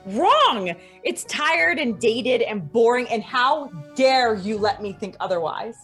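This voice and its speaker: A woman's voice: fairly high pitch, animated, slow, a bit bright, soft background music. A young woman playing a spiteful, domineering college student in a dramatic reading, with a catty, dramatic delivery. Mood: gleeful spite.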